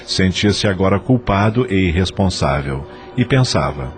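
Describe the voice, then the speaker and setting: A man's voice: sits low, expressive, a little slow, faint music behind. A man with a Brazilian accent narrating an audio novel in a scripted, dramatic style.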